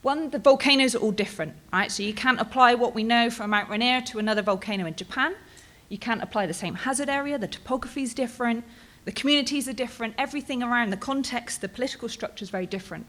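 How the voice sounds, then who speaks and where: British woman in her 30s, fairly high voice, relaxed conference presenter, chatty with filler words. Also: fast talker, hardly any pauses. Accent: British English. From England, Bristol. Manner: casual and chatty, frequent ums and tag questions. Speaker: postdoctoral researcher presenting.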